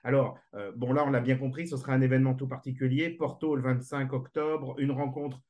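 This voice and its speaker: A man's voice: medium pitch, fairly even intonation, fairly quick, darkish tone. A man interviewing a guest, asking questions with a hesitant delivery full of fillers. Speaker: radio interviewer.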